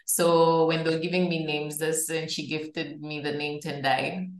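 A woman's voice: deep voice, level tone. Woman host asking questions in a roomy space. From Zambia. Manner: measured, thoughtful questioning with fillers. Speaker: interviewer, host. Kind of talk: interview questions. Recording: roomy echo.

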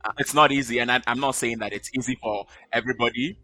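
A man's voice: mid-range voice, fairly even intonation, fast, bright, forceful tone, dry recording. A man from Ghana with a Ghanaian accent, speaking as a guest in a casual interview and often repeating words as he makes his points. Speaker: podcast guest, data analyst.

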